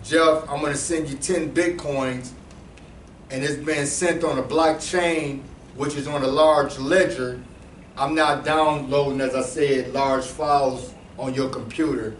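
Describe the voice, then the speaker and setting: A man's voice: mid-range voice, steady tone, unhurried. Slangy man talking in an echoey room. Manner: loose and conversational, with slang, repeated restarts and asides to the audience. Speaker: presenter explaining cryptocurrency to a small audience. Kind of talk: casual talk to a live group. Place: room with a small seated audience. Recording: echoey room voice from a distance, faint background hum.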